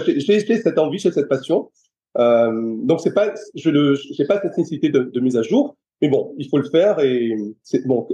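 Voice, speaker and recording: Mellow-voiced French man, hesitant. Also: mid-range voice. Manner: hesitant, many restarts and fillers. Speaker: guest, personal trainer. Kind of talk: casual interview chat.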